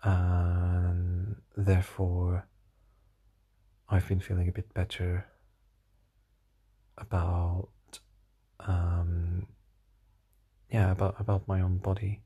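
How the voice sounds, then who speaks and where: A tired young man with a slightly hoarse voice, rambling hesitantly through a casual diary vlog with many fillers and restarts. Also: low-pitched, monotone, slow, pauses often, mellow. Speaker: vlogger.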